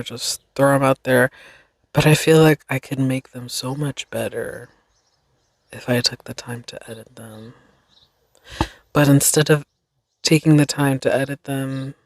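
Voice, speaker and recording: Young woman, solo podcast host, slow and meandering delivery, dry close mic. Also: very deep voice, dry recording. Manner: slow, reflective, rambling with fillers. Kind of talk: casual solo podcast monologue.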